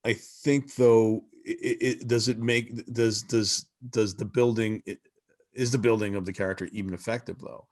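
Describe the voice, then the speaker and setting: A man's voice: lowish voice, steady tone, normal speed, darkish tone. A man speaking as a panelist in a casual discussion, often restarting and repeating words as he thinks. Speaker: convention panelist.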